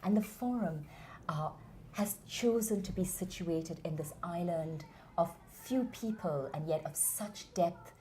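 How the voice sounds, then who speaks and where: A woman from India, giving earnest, measured and passionate answers in an interview. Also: fairly low pitch, dark tone. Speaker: interview guest, founder of a theatre academy.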